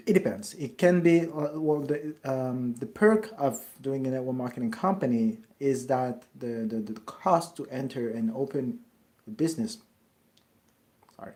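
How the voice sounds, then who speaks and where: French-accented young man, mellow voice. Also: medium pitch. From France. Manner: hesitant, many fillers and restarts. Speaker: network marketing creator answering questions. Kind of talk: casual Q&A monologue.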